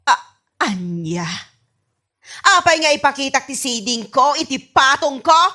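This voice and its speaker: A woman's voice: sits high, big pitch swings, a little slow, pushed and bright, full character voice. A woman playing a nagging, exasperated wife who scolds indignantly with an Ilocano accent in a comedy radio drama.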